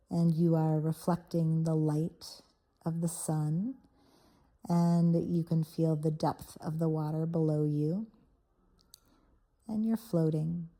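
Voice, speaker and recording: A woman leading a guided visualization in a soothing, unhurried manner, leaving pauses between short phrases. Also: low voice, dark tone. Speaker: guided meditation host. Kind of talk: guided meditation, soft guided visualization.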